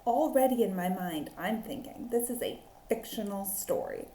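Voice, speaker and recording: A woman teacher reading a storybook aloud to young children in a deliberate, expressive way, recorded in a roomy space. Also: medium pitch, a little hiss or hum. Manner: deliberate, expressive, with drawn-out phrases. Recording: roomy echo on the voice.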